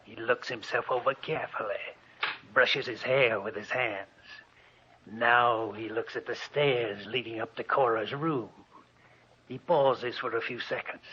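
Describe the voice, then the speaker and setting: An actor playing a spooky, gloating old hermit who narrates murder in theatrical 1940s radio horror style. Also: male voice, mid-range voice, fairly even intonation, sharp tone. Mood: ominous. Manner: theatrical, ominous, slow and deliberate. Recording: dull noisy old radio recording.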